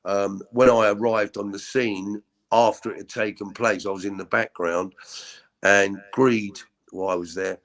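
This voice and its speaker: A middle-aged man with a deep voice, telling his story as an interview guest in a halting, candid way with many repeats. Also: steady tone, fairly bright tone, low-quality recording. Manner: halting, repeats words, frequent ums.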